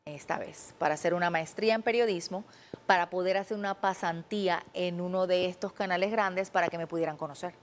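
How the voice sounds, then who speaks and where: Puerto rican tv journalist lady, fairly low voice, earnest and animated, studio interview. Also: brisk, a little hiss or hum. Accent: Puerto Rican Spanish. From Puerto Rico. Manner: earnest, animated, quotes her own past thoughts. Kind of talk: conversational interview storytelling.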